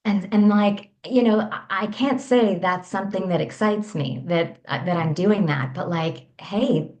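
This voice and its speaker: A woman guest on a podcast, a coach for women, talking candidly about her past in a soft, reflective way with many small restarts. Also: mid-range voice, unhurried. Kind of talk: candid personal storytelling.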